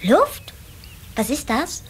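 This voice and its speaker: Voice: sits high, huge pitch swings, slow, exaggerated voice. A performer playing a curious, wide-eyed little water sprite boy in a children's radio play, full of wondering questions. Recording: slightly hissy old cassette recording.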